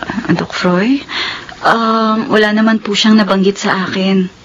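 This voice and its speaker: A woman's voice: mid-range voice, steady tone, measured pace, a bit bright, dull audio. A woman playing a heartbroken young nurse, tearful and earnest, in a radio drama. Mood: hesitant, subdued. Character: heartbroken young nurse pleading with her comatose ex-boyfriend. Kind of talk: Tagalog radio drama acting.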